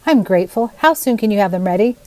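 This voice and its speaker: Lady doing a storybook widow mom, earnest and polite, middle pitch, dry sound. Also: animated, quick pace, a little mellow, dry recording, lightly acted. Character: polite, earnest village widow, a young boy's mother.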